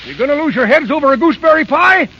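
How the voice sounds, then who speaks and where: A man playing a grumpy, stubborn old dwarf with a gravelly, rustic American voice in 1930s radio drama, snapping and contrary. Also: very high voice, animated, rapid-fire, a loud crowd. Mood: furious. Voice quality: gravelly, sharp. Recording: dull, noisy 1930s radio broadcast.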